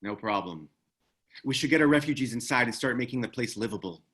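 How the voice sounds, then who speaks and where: A man's voice: mid-range voice, expressive, brisk, a bit bright, slightly rough. A man playing a terse, guarded ex-military operative in a screenplay table read. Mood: matter-of-fact.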